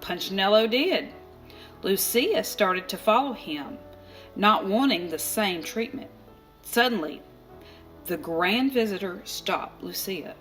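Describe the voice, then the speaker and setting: A woman narrating a children's storybook aloud, expressively and deliberately, with dramatic pauses. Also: mid-range voice, animated, a bit bright. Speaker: storybook reader. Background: music.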